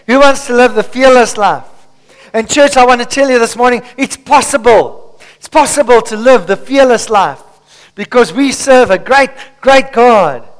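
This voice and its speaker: A male pastor preaching a rousing sermon in a large church, calling the congregation to answer back. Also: very high voice. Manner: rousing, call-and-response preaching. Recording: long church hall reverb.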